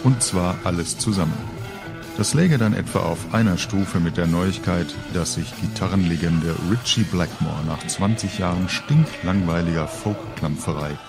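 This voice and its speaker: Deep-voiced man reading a wry story. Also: creaky. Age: middle-aged. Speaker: author reading own story. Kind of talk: scripted humorous narration, audio-book reading.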